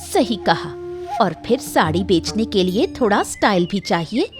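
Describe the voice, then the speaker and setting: A woman's voice: medium pitch, lively intonation, moderate pace, over music, lightly acted. A woman voicing a catty, judgmental village woman in a cartoon story, with a sneering delivery. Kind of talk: cartoon moral story voice acting.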